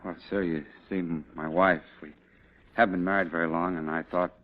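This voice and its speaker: An actor playing a respectful, hesitant cavalry officer in mid-century dramatic style. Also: male voice, low voice, steady tone, brisk, close to an everyday voice. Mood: anxious, hesitant. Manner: hesitant, deferential. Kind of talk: mid-20th-century American dramatic acting. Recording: dull, noisy old radio-era recording.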